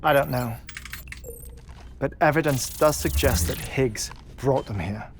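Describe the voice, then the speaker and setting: A man's voice: medium pitch, a little slow, a little hiss or hum, natural voice. An actor in a game cutscene playing an eccentric, melancholy middle-aged man, with hesitant, stammering, formally worded speech. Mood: polite, calm. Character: eccentric, melancholy middle-aged man living in twenty-one-minute cycles. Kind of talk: video game cutscene acting.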